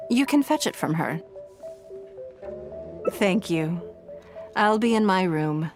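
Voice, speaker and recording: An actress playing a poised, wealthy lady of high standing in a video game, composed, gracious and gently teasing in a refined, measured way. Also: lively intonation, faint music behind. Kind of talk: video game character acting.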